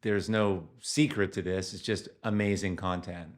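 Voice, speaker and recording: Male interview host, lowish voice, relaxed and affirming, talks with plenty of fillers. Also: normal speed. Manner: encouraging, many agreeing yeahs and fillers. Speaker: podcast host. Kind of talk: casual interview conversation.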